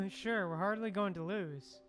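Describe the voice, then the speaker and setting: A man's voice: sits high, a little slow. A man streaming a game, casually reacting with slangy, laughing remarks and reading choices aloud. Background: game music.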